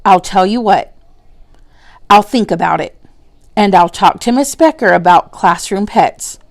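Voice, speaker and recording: A woman playing a kindly, sensible woman schoolteacher who is gently firm, in a storybook reading. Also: mid-range voice, unhurried, gravelly. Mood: considering.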